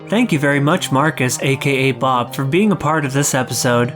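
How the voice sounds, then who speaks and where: Male podcast host over soft music. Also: mid-range voice, brisk. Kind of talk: scripted podcast hosting.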